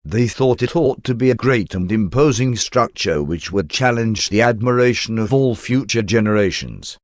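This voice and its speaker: A man narrating a scripted religious text in a measured, formal, expository manner. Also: lowish voice, expressive, no echo, studio quality. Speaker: audiobook narrator.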